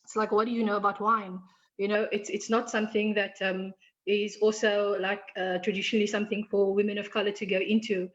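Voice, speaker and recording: Hesitant woman with a flat voice. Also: mid-range voice, fairly quick, highs cut off. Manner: hesitant, lots of ums. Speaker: participant asking a question. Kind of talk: question on a video call.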